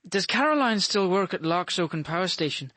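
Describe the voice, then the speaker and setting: An actor playing a smooth-talking, wheedling man in a radio drama. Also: high-pitched, lively intonation, brisk, pushed and bright, dry recording. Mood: politely probing.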